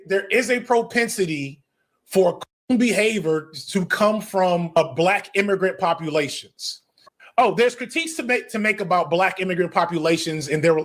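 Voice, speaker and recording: An American man giving opinionated, off-the-cuff commentary in an animated, emphatic, argumentative way, as a video essayist. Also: high voice. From United States.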